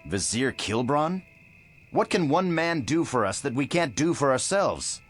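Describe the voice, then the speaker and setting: An actor playing a wary, earnest rebel fighter in a video game, with a questioning, dramatic manner. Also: male voice, mid-range voice, sing-song, a little slow, soft background music. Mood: doubtful. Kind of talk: video game cutscene acting.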